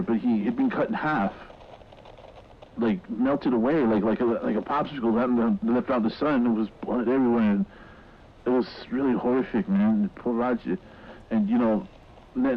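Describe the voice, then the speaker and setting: A man's voice: medium pitch, close to an everyday voice. Man acting shaken witness on lo-fi audio. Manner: halting, rambling, repeating words. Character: shaken male mall worker recounting a horrific incident. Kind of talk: horror audio drama, informal witness account.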